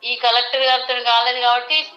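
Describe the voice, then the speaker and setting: Bright, high-voiced Telangana Telugu woman. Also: little pitch movement, fairly quick, dull audio. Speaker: interviewee, surrendered former Naxalite. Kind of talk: phone interview, recounting grievances.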